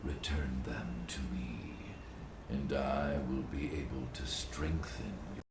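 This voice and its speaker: Ancient, very deep gravelly spirit elder. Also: male voice, fairly even intonation, background noise, full character voice. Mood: solemn, commanding. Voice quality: deep gravelly breathy growl. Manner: slow, solemn, ceremonial. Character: ancient solemn weakened male spirit elder. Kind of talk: video game cutscene acting. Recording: distant, echoing, over loud game ambience.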